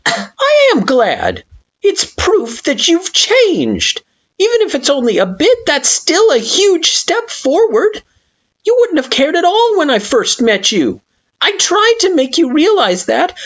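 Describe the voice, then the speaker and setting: A woman's voice: extremely high pitch, voice pushed up, full character voice. A woman voicing an anxious, earnest young human child who pleads and stammers. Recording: dull lo-fi recording.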